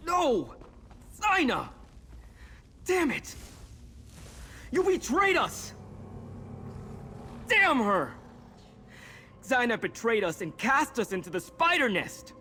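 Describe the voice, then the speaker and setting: An actor playing an earnest, resolute young mage in a video game, explaining things intently. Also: male voice, very high-pitched, big pitch swings, takes it slowly, long pauses, pushed and bright, slight background noise, heavily acted. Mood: shocked, hurt. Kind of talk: video game character dialogue.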